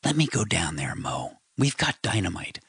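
A big, determined fighter who talks with urgent eagerness, voiced by a male narrator. The voice is deep and gravelly, recorded dry and close with no echo at all. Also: big pitch swings, normal speed, a bit bright, heavily acted. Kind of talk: audiobook character reading.

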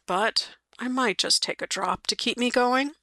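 A weary male police sergeant, plain-spoken and obliging, read by a woman. He talks matter-of-factly in a bright, clear tone, close and dry. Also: fairly high pitch, unhurried, no echo, full character voice.

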